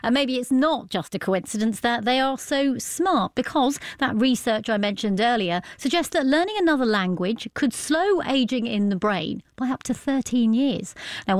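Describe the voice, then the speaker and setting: A woman presenting a radio news programme in a measured, conversational way. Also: a little high, no room sound, studio quality.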